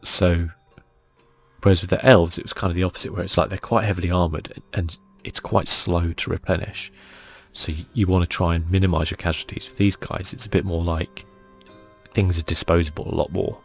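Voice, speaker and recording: Male ASMR gamer: very low, creaky voice, hushed musing commentary, faint music underneath. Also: level tone, a little fast. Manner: casual, musing, thinking aloud. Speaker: gaming channel host. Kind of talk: ASMR video game commentary.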